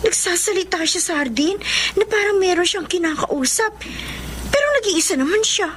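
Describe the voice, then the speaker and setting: A polite young Filipino daughter, girlish and curious, with a very high voice. This is radio drama acting over clearly noisy ambience. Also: normal speed, fairly bright tone. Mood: puzzled worry.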